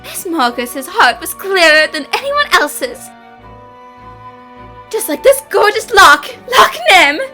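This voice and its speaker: A woman's voice: very high-pitched, big pitch swings, takes it slowly, sharp tone, faint music behind, full character voice. A woman voicing a self-assured, composed young detective in an anime dub, speaking in an articulate, earnest way. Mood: earnest, probing.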